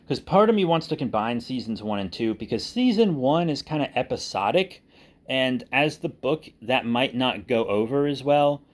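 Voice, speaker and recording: A man's voice: medium pitch, expressive, moderate pace. A man giving a casual, rambling update to viewers as an online creator, informal and often correcting himself as he thinks aloud. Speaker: online video creator and writer.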